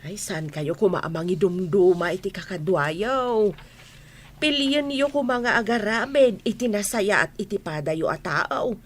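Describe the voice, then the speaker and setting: A woman's voice: mid-range voice, lively intonation, unhurried. A woman with an Ilocano accent playing a caring motherly village elder in a radio comedy drama. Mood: reassuring, insistent.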